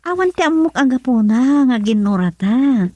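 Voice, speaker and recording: A woman's voice: high voice, animated, moderate pace, mellow, dry recording. An actress with an Ilocano accent playing a secretive, insistent young woman in a radio love drama. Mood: firm.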